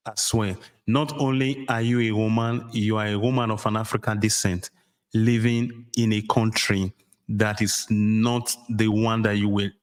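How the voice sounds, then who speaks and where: A man conducting an interview, speaking in long, formally phrased remarks and questions. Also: medium pitch. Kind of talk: interview talk.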